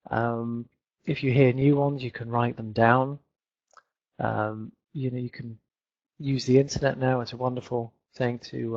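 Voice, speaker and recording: Slow gravelly man from Leeds. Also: medium pitch, darkish tone, no room sound. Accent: Northern English, Leeds. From England. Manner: chatty, repeats words, many fillers. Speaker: English teacher. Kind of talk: online webinar lesson. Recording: dull lo-fi webinar audio.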